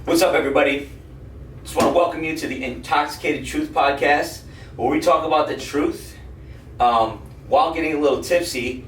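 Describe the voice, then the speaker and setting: A man's voice: a little high, moderate pace. A man hosting a podcast, chatting casually as he interviews a guest. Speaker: podcast host. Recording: echoey, distant mic with noise.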